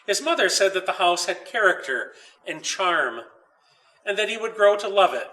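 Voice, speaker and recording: A man reading a children's story aloud as its author, in a measured, deliberate way with pauses. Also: sits high, sing-song, pushed and bright, distant mic, poor audio. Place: old dairy barn.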